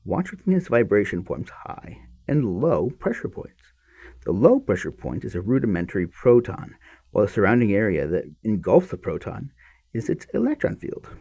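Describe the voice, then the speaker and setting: Male podcast reader, mellow and husky; emphatic, halting delivery of science text, with muttered asides. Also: lowish voice, sing-song. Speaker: podcast host reading a book aloud. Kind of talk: reading aloud from a speculative science handbook with offhand asides.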